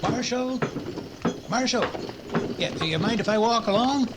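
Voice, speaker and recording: A man's voice: extremely high pitch, lively intonation, unhurried, very noisy. A man playing a chatty, ghoulish frontier doctor in a radio western, folksy, fussy and stammering. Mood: eager, chuckling. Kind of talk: old-time radio western acting.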